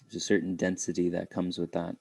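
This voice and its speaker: A man leading an unscripted guided meditation, speaking reflectively in halting phrases with pauses. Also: deep voice, monotone, vocal fry, darkish tone, husky, no room sound.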